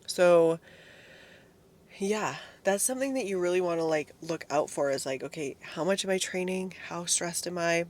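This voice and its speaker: A young woman vlogging about fitness in a casual, chatty way, swearing freely, her voice hoarse because she is losing it. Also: lowish voice, moderate pace. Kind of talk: casual solo talk to camera.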